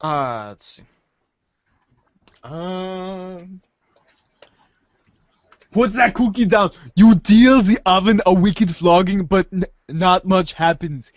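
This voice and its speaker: A playful male podcast host reads a comic aloud in a high voice that swings widely in pitch. He takes his time and trips over the odd word. The audio is dry and a little dull. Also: animated, takes it slowly, pauses often, no echo. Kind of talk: informal group read-aloud of a comic.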